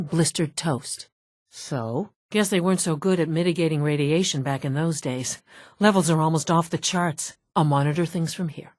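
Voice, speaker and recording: A woman's voice: deep voice, darkish tone, no echo. A woman playing a brusque, dry-witted scientist from an underground institute in a video game, businesslike and wry. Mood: matter-of-fact, sardonic. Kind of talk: video game character dialogue.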